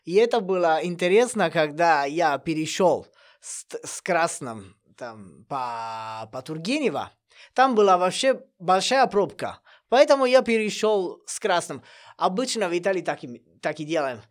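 A man's voice: on the high side, measured pace. Italian-accented man speaking learner Russian. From Italy. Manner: non-native Russian with grammar slips, animated storytelling. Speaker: guest, language teacher. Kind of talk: casual podcast conversation.

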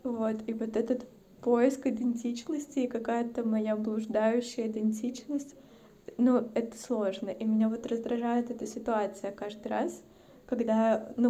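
A woman's voice: fairly high pitch, mellow. A woman from Russia's North Caucasus, speaking as a podcast guest in a reflective, casual chat.

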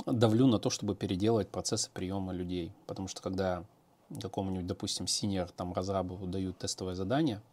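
A man's voice: low-pitched, steady tone, fast, darkish tone. A man, a programmer, answering viewer questions in a casual, hesitant monologue with many fillers and restarts.

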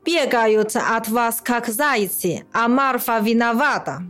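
A woman's voice: fairly high pitch, slow, fairly bright tone, faint music behind. A woman playing a put-upon, grumbling servant in a radio play, with an aggrieved, complaining manner. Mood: offended, grumbling. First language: Chinese. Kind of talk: radio play acting of a classic story.

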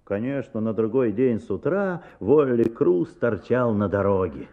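A storyteller narrating a children's radio drama. He is animated at a middle pitch, on a muffled old recording. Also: a little slow. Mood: storytelling. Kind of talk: Soviet radio play narration.

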